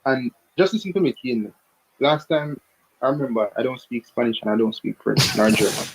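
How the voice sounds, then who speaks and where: A man calling in to a sports show, explaining his football opinions deliberately and often checking he is followed. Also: mid-range voice, breathy edge. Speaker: caller giving football opinions. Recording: dull lo-fi call audio.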